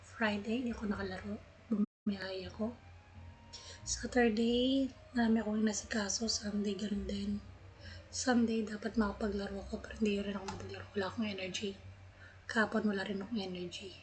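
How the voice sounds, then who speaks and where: A woman's voice: medium pitch, fairly even intonation, quick pace, slight background noise. A woman with a Filipino accent chatting casually on a live game stream, switching between two languages. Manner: mixes two languages mid-sentence. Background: game music and dialogue.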